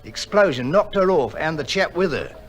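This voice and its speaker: British tugboat sailor, radio drama. High, lively voice, colloquial working-class yarn-spinner. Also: male voice, fairly quick. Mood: animated yarn-spinning. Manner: animated, colloquial storytelling.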